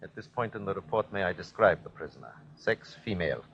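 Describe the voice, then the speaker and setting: Ruthless German captain, dull old recording. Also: male voice, low voice, expressive, fast, creaky, a bit bright, exaggerated voice. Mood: cold, reporting. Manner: commanding, clipped, declamatory. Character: ruthless, fanatical German army captain commanding executions. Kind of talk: old-time radio drama acting. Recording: dull vintage radio recording.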